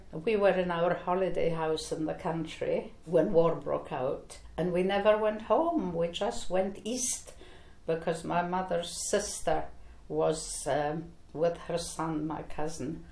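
Older woman, from Poland, slow reflective storytelling, low voice, echoey room. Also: a little mellow. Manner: slow, reflective recollection with fillers. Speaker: interviewee. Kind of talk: oral history interview.

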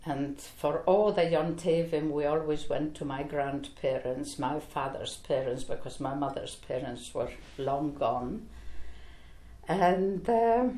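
Older woman, from Poland, slow reflective storytelling, low voice, echoey room. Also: mellow. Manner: slow, reflective recollection with fillers. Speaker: interviewee. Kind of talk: oral history interview.

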